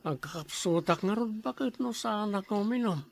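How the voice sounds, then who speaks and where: A man's voice: sits high, lively intonation, normal speed, gravelly, no room sound, full character voice. A man with an Ilocano accent playing a stubborn, drunken husband who pleads and argues in an audio drama. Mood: defensive, tipsy. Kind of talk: Ilocano radio-style drama acting.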